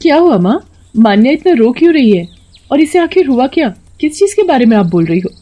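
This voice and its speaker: A woman voicing a loving but easily stern young mother in a cartoon story, earnest and expressive. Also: sits high, fairly quick, pitched above the performer's own voice. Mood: worried, stern.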